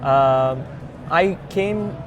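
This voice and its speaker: A man's voice: very slow, over music. A man from India with an Indian accent, a founder being interviewed, explaining emphatically step by step in earnest. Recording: noisy outdoor ambience with traffic rumble.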